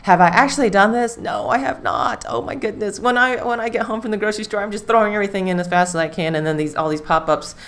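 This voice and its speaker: A woman's voice: mid-range voice, quick pace, few pauses. A woman hosting a live stream, talking casually and rambling with fillers. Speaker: livestream host.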